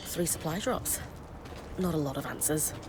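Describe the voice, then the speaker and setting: An actress playing a practical, plain-spoken team leader in a video game, brisk and businesslike. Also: female voice, low-pitched, noisy background, close to an everyday voice. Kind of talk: video game dialogue acting. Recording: voice under clear background music.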